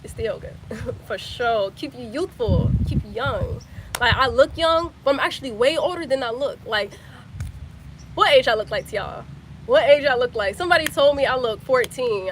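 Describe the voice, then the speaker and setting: A woman's voice: a little high, moderate pace, a little hiss or hum, rough recording. Chatty young woman on a phone. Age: 20s. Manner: chatty, repeats phrases, slangy. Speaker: livestreamer. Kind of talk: casual live chat with viewers.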